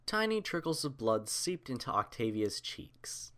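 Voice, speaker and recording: A man's voice: mid-range voice, sing-song, measured pace, pushed and bright. A man reading scripted fiction aloud as the narrator of a story.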